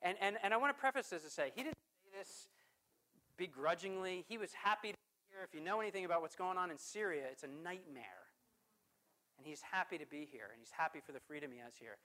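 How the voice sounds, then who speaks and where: A man's voice: high voice, lively intonation, fast talker. Emphatic preaching man. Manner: emphatic preaching with rhetorical questions; loudness swings from forceful to hushed. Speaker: pastor. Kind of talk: sermon.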